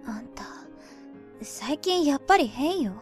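An actress playing a blunt, sardonic high-school girl who teases her friends in a youth romantic-comedy voice drama. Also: animated, a little slow, soft background music. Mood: guarded, subdued. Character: sharp-tongued teasing high-school girl, older friend.

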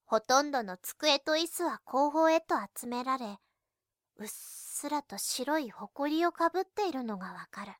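A woman playing an earnest, reserved high school girl who narrates her own story in a youth voice drama. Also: animated, a little slow, dry recording, studio quality. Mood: calm, descriptive. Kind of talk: scripted narration in an anime-style voice drama.

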